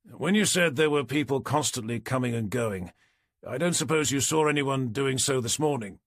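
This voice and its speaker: A man's voice: mid-range voice, moderate pace, no room sound, natural voice. Guy as a british detective, dry and wry, audiobook dialogue, close dry recording. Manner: dry, questioning.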